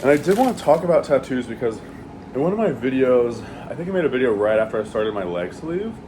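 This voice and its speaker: A man in a relaxed, rambling flow, dropping casual profanity and filler words as he talks while eating. His voice sits at an ordinary middle pitch. A clear, steady air-conditioning hum runs underneath the whole recording. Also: quick pace. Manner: laid-back, rambling, swears freely, many fillers. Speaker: vlogger eating on camera.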